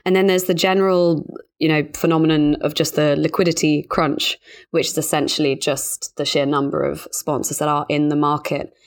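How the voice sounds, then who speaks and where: Woman, low pitch, podcast host, journalist, professional presenting and discussion. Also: level tone, normal speed. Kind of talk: scripted podcast introduction and interview discussion.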